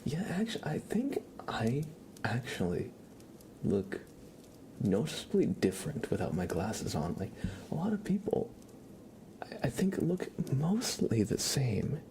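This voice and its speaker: A man chatting casually on an ASMR livestream in a soft, often whispered voice, relaxed and rambling, close to the microphone. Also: medium pitch, a little slow, a little hiss or hum. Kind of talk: ASMR, casual chat with viewers.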